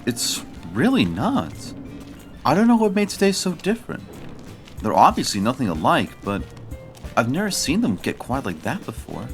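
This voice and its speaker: A man's voice: medium pitch, wildly swooping pitch, moderate pace, faint music behind. An actor playing a sweet, cheerful, slightly clueless young male club vice president, earnest and encouraging, in a visual novel audio drama. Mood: quiet, sincere.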